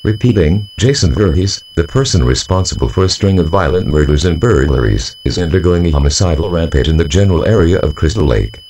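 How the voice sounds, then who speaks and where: A man's voice: low-pitched, lively intonation, brisk, few pauses, a little mellow, slight background noise, poor audio. A man voicing a formal, stern official announcer reading a scripted emergency alert broadcast. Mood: stern, urgent.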